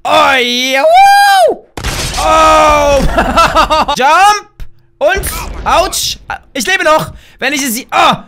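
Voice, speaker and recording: A hoarse young man in his twenties, loudly and playfully commentating a game from a small room at home, talking into a desk mic while wearing a headset. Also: extremely high pitch, big pitch swings, very slow, background noise. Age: young adult. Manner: loud, shouty, laughing, playful exclamations. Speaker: gaming YouTuber. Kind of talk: excited gameplay commentary.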